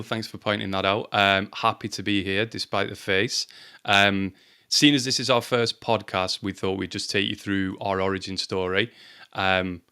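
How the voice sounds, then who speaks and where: A man co-hosts a casual podcast in a low, smooth voice. He keeps his pitch flat and even. He speaks with a dry, self-deprecating edge and the odd "um". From Lancashire, England. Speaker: podcast co-host, company CMO.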